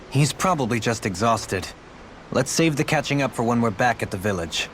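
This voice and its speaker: A man playing a composed, dryly wry companion in a fantasy game, with formal, measured phrasing. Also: mid-range voice, fairly bright tone, a little hiss or hum, lightly acted. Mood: relieved, calm. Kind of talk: video game voice acting.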